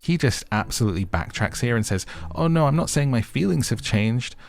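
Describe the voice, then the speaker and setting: A man's voice: mid-range voice, lively intonation, a little fast, vocal fry. A man narrating an opinionated show recap as host, with wry, exasperated commentary and music underneath.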